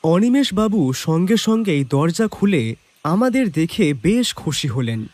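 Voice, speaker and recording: A man narrating a detective story as the detective's companion, in an expressive dramatised scripted style. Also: a little high, unhurried, no echo. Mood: reflective, atmospheric.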